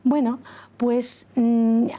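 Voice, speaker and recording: A middle-aged woman from Catalonia, a former school principal, answering interview questions earnestly and deliberately, pausing and correcting herself often. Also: fairly high pitch, little pitch movement, mellow. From Catalonia, Spain. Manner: deliberate, with frequent pauses and self-corrections.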